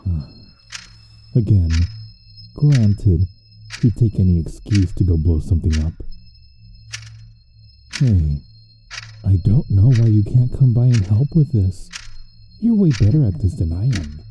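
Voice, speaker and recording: Male actor as caring supervillain, low pitch, unhurried pace, soft-spoken, wry, reassuring, ASMR audio drama. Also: lively intonation, faint music behind, rough recording. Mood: wry, uneasy. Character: supervillain man gently caring for his exhausted hero nemesis. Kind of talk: ASMR audio roleplay acting.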